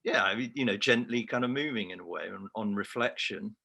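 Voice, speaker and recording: Gravelly Scouse man, low voice. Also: a little fast, pushed and bright. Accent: Liverpool. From Liverpool, England. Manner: reflective, self-deprecating. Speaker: award-winning researcher, interviewee. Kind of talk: remote interview.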